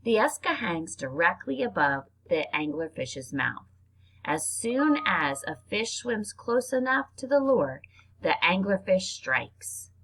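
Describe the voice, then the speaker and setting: A woman reads nonfiction aloud in an expressive, informal way, like reading a book to children. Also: mid-range voice, takes it slowly, low-quality recording. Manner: expressive, informal reactions between passages. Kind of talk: read-aloud narration with casual spoken asides.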